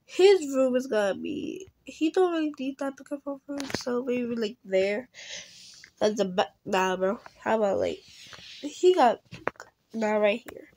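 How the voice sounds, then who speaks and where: A young girl chatting casually while playing a game, rambling and restarting, sometimes dropping to a whisper. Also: a little high. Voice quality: rambling, often trails into a whisper. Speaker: game video creator. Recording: noisy phone recording with clicks.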